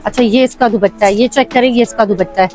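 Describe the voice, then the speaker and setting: A woman's voice: a little high, fast talker, a little mellow, soft background music. A woman in her thirties with a Pakistani Urdu accent, chatting eagerly with a shopkeeper in a crowded fabric shop, on a clip-on mic. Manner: chatty, eager to see every colour. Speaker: vlogger. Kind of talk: shopping vlog chat with shopkeeper. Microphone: clip-on lapel mic.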